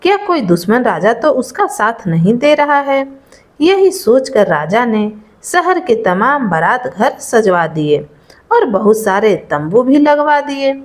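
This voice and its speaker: A woman tells a folk tale from a script. Her voice is high and animated in its rise and fall. She takes the narration at a very unhurried pace. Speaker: storyteller.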